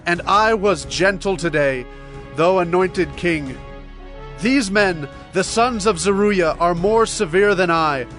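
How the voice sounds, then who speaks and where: High-pitched, solemn, stern warrior king. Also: slow pace, fairly bright tone. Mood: grave, resolute. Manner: declamatory, solemn, emphatic delivery. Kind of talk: dramatized scripture acting. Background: music.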